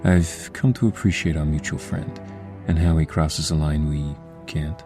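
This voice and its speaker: An actor playing a cocky, hot-headed district attorney in a comic-book audio drama, husky, sardonic and impatient. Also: male voice, extremely low pitch, flat delivery, normal speed, over music. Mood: wry, impatient.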